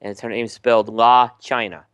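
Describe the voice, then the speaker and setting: Relaxed, smooth-voiced male co-host. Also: medium pitch, fairly quick, no echo. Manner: relaxed, many ums and restarts. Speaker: podcast co-host. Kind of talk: casual chat reviewing cigars.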